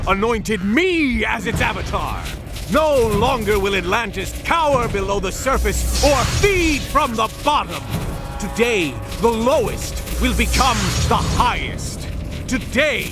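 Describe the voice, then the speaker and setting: A man's voice: high voice, sing-song, takes it slowly, over music, heavily acted. An actor playing a megalomaniac villain king of an undersea realm in a video game, with grandiose, menacing, theatrical delivery. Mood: grandiose, triumphant.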